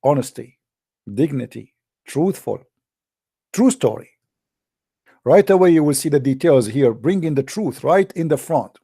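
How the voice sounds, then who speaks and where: A man with a dark, creaky voice and as his hosts a live call-in show. He argues emphatically, repeating his phrases with a mocking edge. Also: on the high side, animated, measured pace, pauses often. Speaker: host of a religious debate call-in show. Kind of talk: live call-in commentary and polemic.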